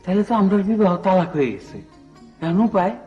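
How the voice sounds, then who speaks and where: A man's voice: high voice, animated, fairly quick, slightly rough, lo-fi sound, soft background music. An actor playing a good-natured, half-mad village man in a comic drama, rambling earnestly in rural Bangladeshi Bengali. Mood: playful.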